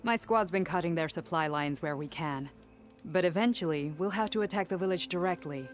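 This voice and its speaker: Woman playing a low-voiced, confident female squad leader. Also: brisk, faint music behind, lightly acted. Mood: assured. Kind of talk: video game cutscene acting.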